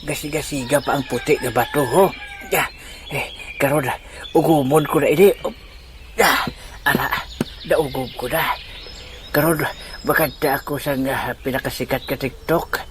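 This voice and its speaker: A man playing a bumbling, excitable uncle in a comic audio drama, with a Filipino accent and a stammering, repetitive delivery. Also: mid-range voice, moderate pace, pauses often, noisy background, lightly acted. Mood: muttering, amused. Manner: stammering, chuckling, repeats himself.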